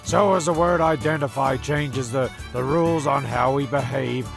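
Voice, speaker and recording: A man's voice: mid-range voice, moderate pace, creaky, lightly acted. A man playing an exasperated, sweary narrator of a comic radio play, stammering and rambling over music. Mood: exasperated, deadpan. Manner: stammering, ranting, deadpan asides. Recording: prominent music under the voice.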